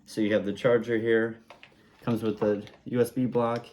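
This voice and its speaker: Low-voiced man casually demonstrating a product. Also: flat delivery, moderate pace, darkish tone. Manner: informal, easygoing explaining in simple steps. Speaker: product reviewer.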